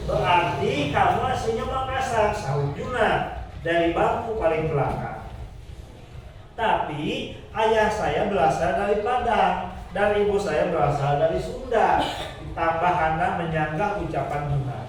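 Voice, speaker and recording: A man's voice: high-pitched, expressive, measured pace, slight background noise. A male teacher reading a children's story aloud to his class and stopping to quiz them. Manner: checks the class with questions between story parts. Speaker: primary school teacher. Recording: echoey classroom, far from the mic.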